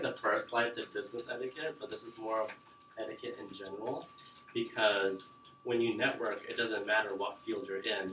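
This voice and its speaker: A man's voice: on the low side, bright, forceful tone, slight background noise. A young man giving a casual talk to students in a classroom, chatty with many fillers. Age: young adult. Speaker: guest speaker. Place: classroom with projector screen. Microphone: far camera. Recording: roomy classroom from far off.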